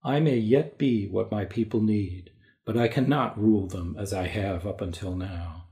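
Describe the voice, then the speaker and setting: A man playing a weary, kingly sun god and gentle father in an audio drama, speaking slowly, tired and solemn. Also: fairly low pitch, natural voice. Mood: weary, resolved. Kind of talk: dramatic reading like a radio play.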